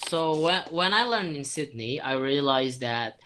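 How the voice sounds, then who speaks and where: A young man with an Indonesian accent, speaking haltingly with many fillers and restarts as he shares tips in an informal virtual talk. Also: fairly high pitch, animated, sharp tone, a little hiss or hum. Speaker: former exchange student speaker.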